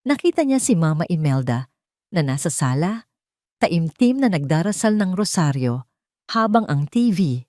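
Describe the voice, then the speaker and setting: A woman's voice: low voice, dark tone, no echo, studio quality. A woman narrating a scripted romance drama as a storyteller, in a dramatic style.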